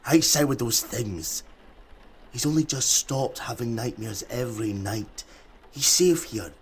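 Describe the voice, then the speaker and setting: A man playing a steady, protective survivor. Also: mid-range voice, a little slow, slight background noise, natural voice. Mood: reasoning. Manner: calm, reasoning, protective. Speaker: survivor in an underground group. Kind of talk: audio drama acting.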